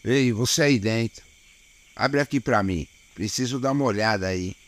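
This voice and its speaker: A man's voice: mid-range voice, moderate pace, close to an everyday voice. A man playing a weary, dogged small-town policeman in an audio drama, colloquial and grumbling, with a Brazilian accent. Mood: insistent. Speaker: voice actor.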